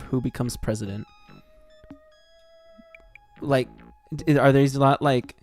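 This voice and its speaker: An American man livestreaming in casual chat, excitable and sweary, reacting and reading things aloud. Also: mid-range voice. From Texas, United States. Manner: excitable, swearing, jumps from muttering to shrieks. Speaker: livestream host.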